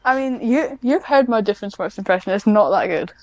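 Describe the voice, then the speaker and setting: A woman chatting casually as a podcast guest, in a somewhat hesitant, halting way. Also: mid-range voice, no room sound, muffled recording. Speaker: podcast guest, script writer. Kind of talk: casual chat.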